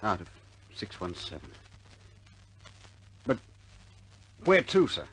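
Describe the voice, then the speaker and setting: A man's voice: fairly low pitch, sing-song, slow, fairly bright tone, slight background noise, exaggerated voice, poor audio. An actor playing a plucky, stubborn young RAF bomber airman in a 1950s British war film, blunt and informal in manner. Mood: pleading protest.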